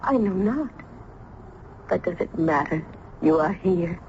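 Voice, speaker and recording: Casting: innocent young maiden, earnest and formal, old-fashioned diction, on a noisy vintage radio play recording. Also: female voice, fairly low pitch, animated, takes it slowly, a little mellow, muffled recording. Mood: gentle, welcoming. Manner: earnest, formal, archaic phrasing. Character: gentle, innocent young maiden, a doctor's sheltered daughter. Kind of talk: old-time radio drama acting.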